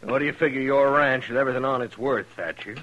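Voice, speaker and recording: An actor playing a cocky, taunting cowboy gambler in a 1950s radio western, with a folksy, mocking drawl. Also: male voice, mid-range voice, quick pace, muffled recording, lightly acted.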